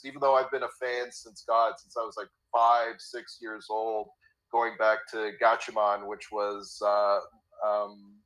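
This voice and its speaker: A man's voice: medium pitch, a bit bright, poor audio. A Canadian man in his fifties with a slight cold, talking as a guest on a remote interview, eager and often repeating words as he starts a thought. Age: 50s. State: has a cold, a bit raspy.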